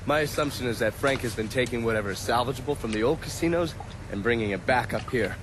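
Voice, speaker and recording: An actor playing a world-weary, cynical intelligence agent, sardonic and profane, in a video game cutscene. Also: male voice, medium pitch, quick pace, noisy background, natural voice. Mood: briefing, sardonic.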